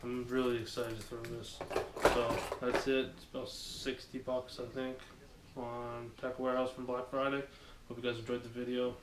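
A man's voice: mid-range voice, moderate pace. A young man hosting a fishing channel, talking casually with lots of fillers as he unboxes gear in a small dim living room, recorded by a camera across the room. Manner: relaxed, rambling with many fillers. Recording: echoey room with background noise.

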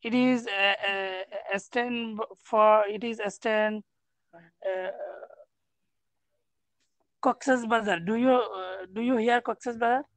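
A young man from Bangladesh, a student practicing English in halting, broken sentences full of pauses. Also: sits high, steady tone, fairly bright tone, no echo. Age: young adult. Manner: hesitant, broken English, many uh fillers.

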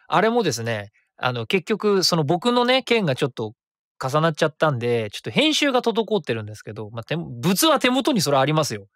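A man's voice: fairly high pitch, very animated, a little fast, a bit bright, no echo, clean studio sound. A man hosting his own web radio show, chatting and reading listener comments in a rambling way with many fillers.

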